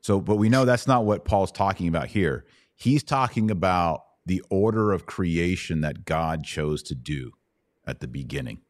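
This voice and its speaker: A man with a very low voice teaches scripture in a conversational podcast style. He explains patiently in steps and checks in with short tag questions. The sound is dry and close, without room reflections. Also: lively intonation, normal speed, no echo. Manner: explanatory, checks understanding with rhetorical tags. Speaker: host and Bible teacher answering questions.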